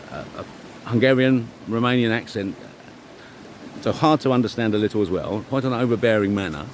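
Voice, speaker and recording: A middle-aged man giving a rambling, informal monologue, speaking emphatically and self-assuredly in halting, repeated phrases. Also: fairly low pitch, a little hiss or hum. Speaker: vlogger.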